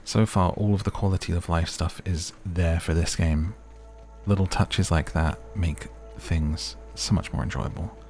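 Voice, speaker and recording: A soft-spoken man giving gentle, chatty, relaxed ASMR commentary over a game, as the host of the video. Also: low voice, a little slow. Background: game music.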